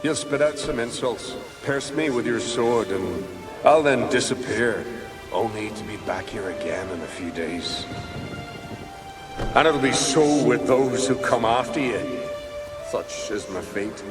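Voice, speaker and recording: A man's voice: medium pitch, loud music behind the voice, close to an everyday voice. An actor playing the weary, jaded ghost of a doomed male warrior in a video game, speaking in a sardonic way. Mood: weary, bored. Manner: slow, world-weary, sardonic. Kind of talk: video game cutscene acting.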